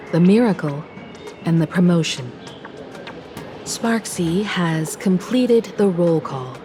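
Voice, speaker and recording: An actress playing a composed, caring and analytical young woman in a video game, speaking in a measured, earnest, articulate way. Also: on the low side. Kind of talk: video game voice acting, expository dialogue. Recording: studio voice over game music.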